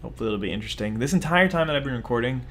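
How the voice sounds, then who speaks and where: A man's voice: mid-range voice, expressive, racing, a bit bright. A young man giving rambling, self-mocking commentary over his own card game, talking casually to viewers. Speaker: gaming video host.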